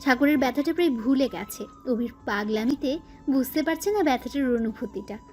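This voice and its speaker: A woman's voice: sits high, moderate pace, a little mellow, faint music behind. A woman reading scripted narration as the storyteller of a romantic audio story.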